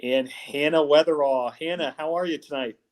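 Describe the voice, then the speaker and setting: A man's voice: on the high side, moderate pace, poor audio. A middle-aged man hosting a college sports talk show over a video call, upbeat and full of uh fillers, from an office. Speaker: host. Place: office with wall logo.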